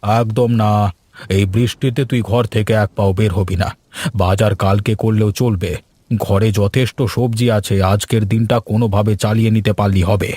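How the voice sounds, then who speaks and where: A man's voice: on the low side, steady tone, moderate pace, warm tone, dry recording, lightly acted. A man voicing a strict, protective middle-aged father in a cartoon, scolding firmly and giving orders. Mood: stern. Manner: firm, scolding, commanding.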